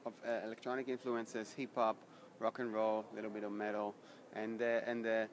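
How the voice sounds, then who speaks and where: A man in a band, talking in an interview, halting and repeating words as he searches for them. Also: lowish voice, level tone. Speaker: band member. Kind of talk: casual interview chat. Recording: noisy background.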